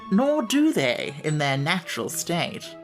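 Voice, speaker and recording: A woman's voice: fairly low pitch, big pitch swings, slow, over music. A woman voicing a pompous, overly polite male insect who fancies himself a scholar, speaking in elaborate phrases.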